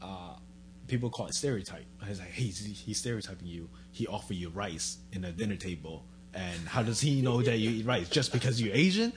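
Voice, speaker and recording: A man in casual chat, relaxed and joking, dropping his voice low in his asides. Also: fairly quick, music in the background, low-quality recording.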